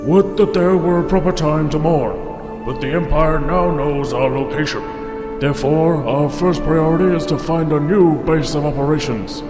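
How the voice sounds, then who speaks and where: A man voicing a solemn military general giving a formal, measured ceremonial speech in a tabletop game. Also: fairly high pitch, voice pushed up, natural voice. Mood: solemn, rallying. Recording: echoing hall reverb, loud music behind.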